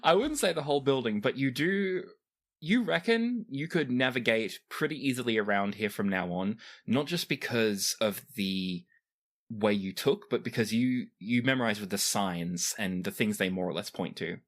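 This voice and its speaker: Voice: medium pitch, lively intonation, moderate pace. A game master improvising narration for a tabletop role-playing game in a conversational way.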